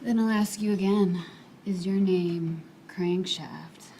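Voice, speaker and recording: An actress playing a seductive, impatient femme fatale in a comic noir radio play, speaking in a sultry, teasing way. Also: female voice, on the low side, slow pace, warm tone, a little hiss or hum. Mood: impatient, cool. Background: music.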